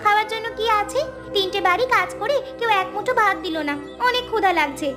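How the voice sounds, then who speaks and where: A woman plays a poor young village girl in a cartoon tale. The voice is very high, earnest and plaintive, with expressive delivery over clearly audible music. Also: fast talker. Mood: hungry. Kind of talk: Bengali cartoon story dubbing.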